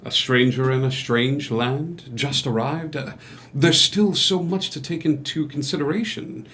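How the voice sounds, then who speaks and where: An actor playing a courteous, hesitant gentleman doctor new in town, speaking in formal, halting phrases at a theatrical table read. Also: a little high, steady tone, a little hiss or hum. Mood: deferential, ingratiating. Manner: formal, halting, old-fashioned politeness. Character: courteous, hesitant male doctor newly arrived in town, subtly ingratiating.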